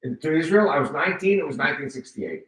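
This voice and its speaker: An older man in his seventies gives an animated personal testimony, his voice bright and forceful. He acts out quoted exchanges as he tells his story. He is heard from a distance in a roomy, echoing hall. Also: a little high, fast talker. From United States. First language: Spanish. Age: 70s. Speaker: guest speaker giving testimony. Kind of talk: personal testimony to a live audience. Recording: roomy hall, voice picked up from afar.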